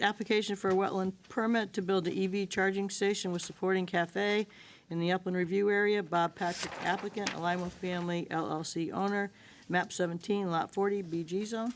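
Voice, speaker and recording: A woman chairing a town board meeting, moving items along briskly and calling votes. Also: mid-range voice, slight background noise. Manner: brisk and procedural. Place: town hall meeting room.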